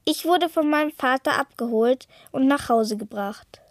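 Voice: high voice, normal speed. A female speaker voicing a scared young schoolchild giving scripted radio testimony about an earthquake. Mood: fearful, earnest.

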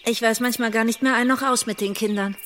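High-voiced, gushing, overwhelmed mother played by an actress. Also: fairly even intonation, fast talker, a bit bright, a little hiss or hum, lightly acted. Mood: gushing, flustered. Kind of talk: German radio drama dialogue.

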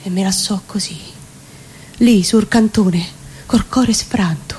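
A woman with a Roman accent playing a distraught working-class man confessing in dramatic dialect verse, pleading and halting. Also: mid-range voice, a little mellow, close to an everyday voice. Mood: anguished. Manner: pleading, halting, emotive delivery. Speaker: reciting actress. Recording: live radio performance with background noise.

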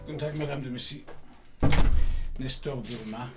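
A man playing a weary, wry private detective in a noir radio drama, speaking tersely and dryly with a gravelly voice. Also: mid-range voice, moderate pace, loud music behind the voice, lightly acted. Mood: probing, laconic. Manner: terse, laconic, dry. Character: weary, wry male private detective narrating his case.

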